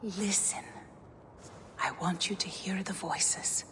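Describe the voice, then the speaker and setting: In a cavernous reverb with faint ambient noise, a mystical young goddess seer. Her lowish, breathy voice moves slowly and wistfully, often near a whisper, with quiet determination, as in a game cutscene. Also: steady tone. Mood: hopeful, searching. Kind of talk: dramatic game cutscene acting.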